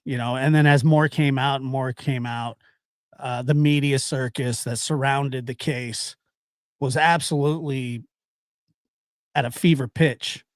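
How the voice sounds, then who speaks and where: A man around forty hosting a casual live-stream chat, halting and circling back over his phrases. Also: mid-range voice, no room sound, studio quality. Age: late 30s to early 40s.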